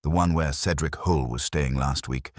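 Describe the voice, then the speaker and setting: A man voicing a calm, clipped private investigator reporting facts with a slightly uneasy edge in an audio story. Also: very deep voice, normal speed, no room sound.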